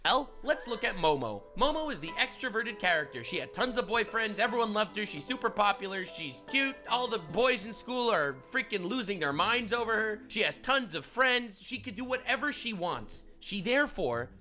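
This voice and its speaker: A man with a fairly high voice presents a scripted video essay. He is emphatic and leans on repeated phrases to build his analysis. Music plays clearly behind him. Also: very animated, normal speed, few pauses, pushed and bright. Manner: emphatic, rhetorical repetition.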